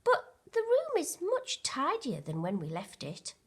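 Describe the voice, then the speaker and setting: A woman voicing a gentle, helpful old male teddy bear in a children's storybook. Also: sits high, huge pitch swings, a little slow, voice pushed up, heavily acted. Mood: reassuring.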